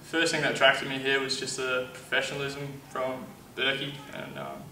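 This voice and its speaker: Bright-toned young Australian man. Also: mid-range voice, sing-song, moderate pace, a little hiss or hum. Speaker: college soccer player. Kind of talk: interview answers. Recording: echoey room, mic far off.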